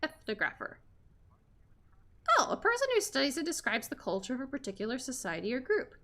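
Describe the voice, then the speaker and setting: A woman livestreaming a visual novel, chatting and reacting aloud to the characters in an informal, expressive way. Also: sits high, a little fast. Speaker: streamer playing a visual novel.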